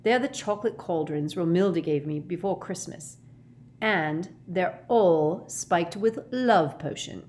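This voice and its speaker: A woman's voice: lowish voice, a little slow, darkish tone, natural voice. Woman playing a baffled, exasperated teenage boy. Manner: incredulous, blunt, exasperated questioning. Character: sensible teenage boy, baffled and impatient with friend. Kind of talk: dramatised storybook reading.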